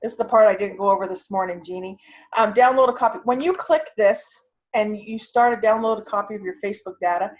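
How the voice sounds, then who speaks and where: A woman's voice: medium pitch, fast talker. A woman giving an informal online tutorial, talking conversationally and thinking aloud as she demonstrates over a video call. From Canada. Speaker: online class instructor. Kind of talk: informal tutorial with screen sharing. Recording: muffled, lo-fi video call audio.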